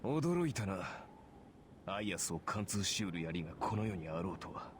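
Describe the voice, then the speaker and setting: A composed, battle-worn warrior from a visual novel game, speaking in a very low voice with dry, sardonic formality. The delivery is quick yet controlled, over clearly noisy game audio. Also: male voice, very animated. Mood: impressed, dry. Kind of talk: Japanese visual novel voice acting.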